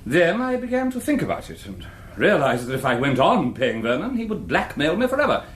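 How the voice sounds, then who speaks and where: An actor playing a dignified, guarded middle-aged English academic knight, giving formal, precise, defensive testimony in a courtroom drama. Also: male voice, a little high, wildly swooping pitch, fairly quick, fairly bright tone, echoey room, slight background noise. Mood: anxious, confessional. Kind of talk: courtroom radio drama acting.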